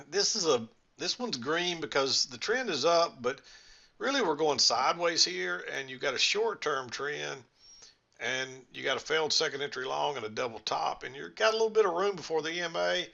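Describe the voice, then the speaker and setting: Gravelly man reviewing charts. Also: fairly high pitch, pushed and bright, dull audio. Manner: folksy, rambling, thinking aloud. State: slightly scratchy voice. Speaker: trading instructor. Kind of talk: screen-share chart walkthrough.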